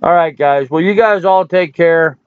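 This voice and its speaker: An older man hosting a casual live stream, hoarse from hours of talk, folksy and rambling as he answers chat comments. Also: a little high, normal speed, no room sound. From United States. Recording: dry, tinny phone-like audio.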